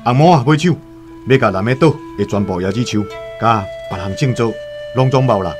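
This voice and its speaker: An actor playing a shrewd, self-serving Han trader, calculating and wary of trouble, with a Taiwanese accent in a radio drama. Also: male voice, medium pitch, brisk, close to an everyday voice. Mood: sly, self-justifying. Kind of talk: radio drama dialogue and first-person storytelling. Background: music.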